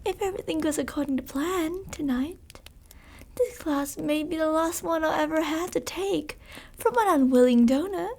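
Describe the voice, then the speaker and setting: A woman's voice: high voice, darkish tone. A woman playing a sadistic, possessive vampire noblewoman in an ASMR roleplay, teasing and archly courteous, at times slipping toward a whisper. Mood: silky, menacing. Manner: slow, teasing, mock-courteous, archly formal, drifting into whispers. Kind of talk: ASMR roleplay, intimate scripted monologue.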